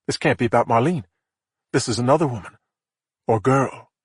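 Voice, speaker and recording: A man's voice: medium pitch, moderate pace, husky, no room sound, studio quality, natural voice. A man voicing a methodical female police investigator who talks in a level, matter-of-fact way. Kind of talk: audiobook character voice.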